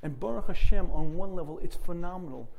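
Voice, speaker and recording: A middle-aged man giving an earnest Torah lecture, clearing his throat often. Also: fairly high pitch, fairly quick, warm tone, slight background noise. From United States. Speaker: rabbi.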